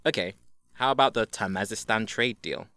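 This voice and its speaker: An actor plays a dry, sardonic agent in an audio drama. His voice sits a bit low but has a bright, forward edge. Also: normal speed, no room sound. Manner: sardonic, wry.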